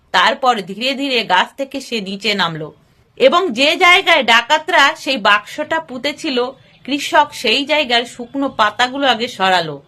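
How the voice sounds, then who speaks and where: A woman's voice: sits high, bright, forceful tone. A woman narrating a scripted story in a dramatic storytelling manner.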